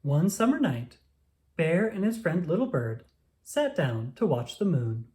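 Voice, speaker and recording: A storyteller reading a children's picture book aloud with expressive narration. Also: mid-range voice, measured pace.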